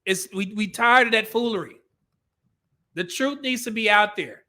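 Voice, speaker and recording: A man's voice: high-pitched, moderate pace, sharp tone. A male commentary host ranting over clips, blunt and profane, with a preachy edge.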